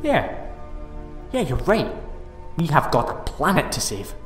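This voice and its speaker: A man's voice: fairly high pitch, sing-song, a little slow, a bit bright. A man playing an anxious, self-doubting time-travelling alien hero in an audio drama. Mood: recovering resolve. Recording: echoey reverberant space, faint music.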